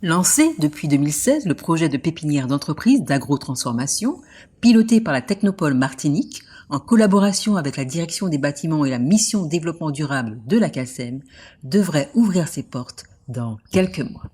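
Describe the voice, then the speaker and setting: A woman reading a scripted report narration in a measured, informative way. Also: sits low, expressive, warm tone, slightly rough.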